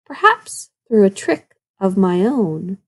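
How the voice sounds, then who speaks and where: A woman plays a hungry old storybook wolf, male, grumpy and worn down. The voice grumbles and growls, with a gravelly creak in it. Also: medium pitch, big pitch swings, takes it slowly, heavily acted. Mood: scheming. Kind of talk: storybook read-aloud.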